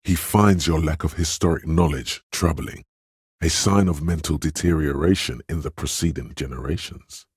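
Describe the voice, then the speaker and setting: Sly game narrator, extremely low-pitched, heavily raspy, measured, insinuating, knowing inner voice. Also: male voice, no room sound, full character voice. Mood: knowing, intimate. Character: sly, knowing inner voice reading people and coaxing the hero. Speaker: video game narrator voicing inner thoughts. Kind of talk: scripted dramatic game narration.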